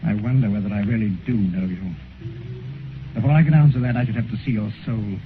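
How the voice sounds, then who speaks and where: An actor playing an earnest, morally shocked English gentleman painter, urgent and pleading, in a 1950s radio drama. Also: lowish voice, fairly quick, close to an everyday voice. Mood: grave. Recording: noisy, muffled 1950s radio recording.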